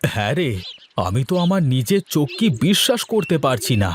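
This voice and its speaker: A man's voice: a little high, big pitch swings, moderate pace, slight background noise. A man voicing a genial but bossy village householder and elder brother in a cartoon story. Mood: astonished. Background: music.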